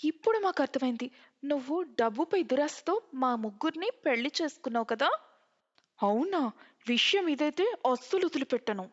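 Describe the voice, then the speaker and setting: A woman's voice: high-pitched, expressive, moderate pace. A woman voicing young wives outraged at a cheating husband in a cartoon moral story. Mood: shocked, indignant. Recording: muffled, long hall reverb.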